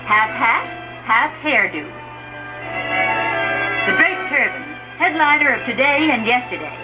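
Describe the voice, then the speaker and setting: A woman's voice: sits high, very animated, a little slow, low-quality recording. A woman delivering scripted, playful fashion commentary for an old newsreel in an arch, quippy manner. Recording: old newsreel soundtrack with loud music. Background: music.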